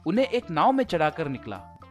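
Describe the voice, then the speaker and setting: Guy reading a folk story, slightly high voice, soft music in the back. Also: wildly swooping pitch, a little fast. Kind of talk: scripted story narration.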